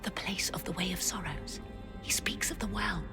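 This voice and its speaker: An actress voicing a shrewd, mysterious adviser in a fantasy video game, theatrical and knowing. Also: female voice, on the low side, normal speed, gravelly, whispered, over music. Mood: hushed, intrigued. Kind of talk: dramatic video game acting.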